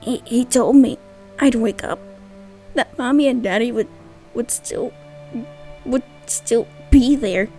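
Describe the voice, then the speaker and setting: A woman's voice: fairly high pitch, takes it slowly, pauses often, mellow, faint music behind. A woman voicing a frightened, grieving little orphan boy who stammers tearfully, in a storybook-style manga dub.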